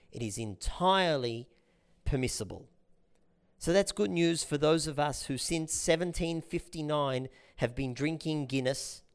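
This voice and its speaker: A middle-aged man teaching an informal lesson in a lively, chatty way, explaining things step by step. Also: on the high side. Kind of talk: informal talk-show lesson.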